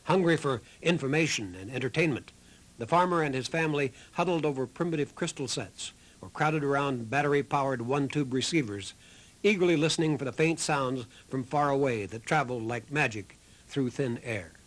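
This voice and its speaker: A man reading scripted documentary narration in a measured storytelling way. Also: mid-range voice, rough recording. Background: music.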